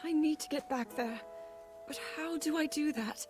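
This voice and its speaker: A young actress playing an earnest, uncertain young princess lost in time, speaking hesitantly. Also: female voice, high voice, normal speed, over music, close to an everyday voice. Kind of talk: game cutscene voice acting.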